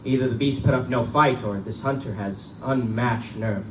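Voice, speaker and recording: A man's voice: mid-range voice, moderate pace, natural voice. A man playing a confident, scoffing big game hunter in a theatrical live reading of a pulp adventure drama. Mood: determined, searching. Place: live event in a bookstore. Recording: echoey live room, distant mic, loud hum.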